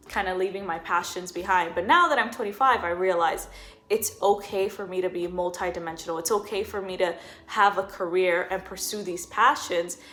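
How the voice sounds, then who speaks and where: A woman's voice: mid-range voice, a bit bright. A woman in her twenties talking earnestly in a personal vlog. She often repeats words for emphasis. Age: 20s. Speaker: vlogger.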